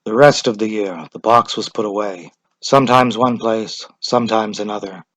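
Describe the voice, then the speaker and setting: A man narrating a short story as a dramatic reading, measured and deliberate. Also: lowish voice, fairly bright tone, rough recording.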